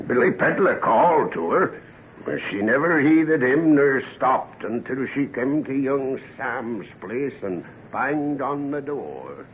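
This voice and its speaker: A tinny, faintly noisy old radio drama recording. a gossipy West Country villager, a man who spins dark tales slowly, with a very animated rise and fall. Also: medium pitch. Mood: grave. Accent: English West Country. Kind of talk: old-time radio drama acting, storytelling.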